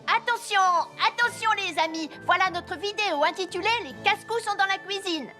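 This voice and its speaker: A woman's voice: very high-pitched, fairly quick, pushed and bright, faint music behind. A woman voicing an eager, bossy young boy in a cartoon. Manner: dramatic, show-off.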